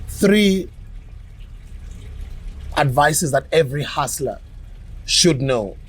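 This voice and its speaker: A South African man in his forties hosting a casual podcast interview, animated and playful, often breaking into laughter. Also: fairly high pitch, slow, slightly rough, poor audio. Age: 40s. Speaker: podcast host.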